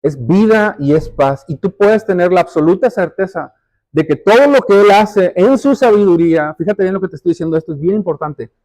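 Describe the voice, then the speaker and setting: A man's voice: high voice, fairly quick, a little mellow, low-quality recording. A man preaching a sermon, explaining step by step and addressing the congregation directly, with emphatic repetition and rhetorical questions. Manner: emphatic, repeats phrases for stress.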